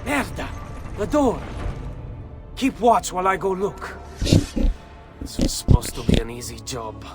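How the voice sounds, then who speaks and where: An actor playing a greedy, impatient hired guard in a video game. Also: male voice, a little high, very animated, takes it slowly, music in the background, full character voice. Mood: annoyed. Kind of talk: video game character acting.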